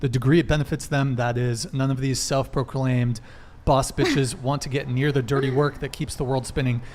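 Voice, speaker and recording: A man's voice: medium pitch, level tone, normal speed, a little mellow, a little hiss or hum. A young man hosting a live-streamed panel chat, wired and rambling, swearing often as he reads out donations. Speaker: host.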